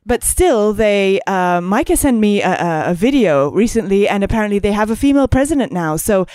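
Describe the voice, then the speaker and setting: A woman from Greece hosts a relaxed conversation in a smooth, clear, medium-pitched voice. She phrases things haltingly, pausing often, and is recorded close with little room sound. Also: dry recording. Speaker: podcast host. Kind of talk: casual interview conversation.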